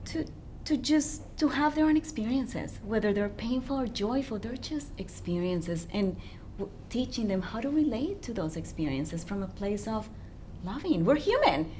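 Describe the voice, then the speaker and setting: A woman's voice: lively intonation, moderate pace, mellow. A woman with a non-native English accent giving conversational coaching advice as a guest, earnestly posing questions as she goes. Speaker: relationship coach, interview guest. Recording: faint background noise, low rumble.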